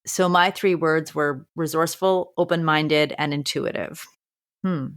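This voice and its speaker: Low-voiced woman teacher thinking aloud. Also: steady tone, unhurried. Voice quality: strong voice. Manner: thinks aloud with restarts, earnest. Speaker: podcast co-host. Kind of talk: reflective casual conversation.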